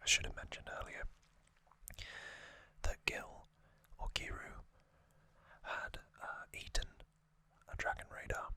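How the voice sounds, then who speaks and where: Slow whispering male ASMR host. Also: no echo. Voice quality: breathy, unvoiced whisper. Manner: slow, gentle, halting storytelling. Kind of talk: whispered ASMR recap of an animated series.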